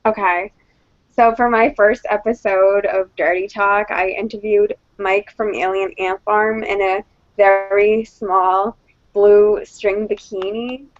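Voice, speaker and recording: A woman's voice: medium pitch, fairly even intonation, slow, no room sound, muffled recording. Hesitant woman in her twenties. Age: 20s. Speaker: guest, show host. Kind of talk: casual podcast chat.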